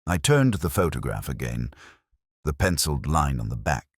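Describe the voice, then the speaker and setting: A man with an extremely low voice narrates a detective mystery in the first person. His delivery is measured and brooding, with lively rises and falls through each literary phrase. The sound is dry and close, with a husky grain. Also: dry recording.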